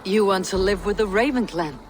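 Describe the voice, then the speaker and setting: An actress playing a stoic, loyal female Viking raider in a video game, with a measured, earnest delivery. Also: medium pitch, slight background noise, lightly acted. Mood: welcoming. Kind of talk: video game drama acting.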